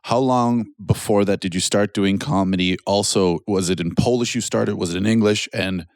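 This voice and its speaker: Male podcast host, very low voice, dry sound, short backchannels and follow-up questions. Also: normal speed, vocal fry, no echo. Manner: brief affirmations, probing follow-up questions. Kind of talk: casual interview.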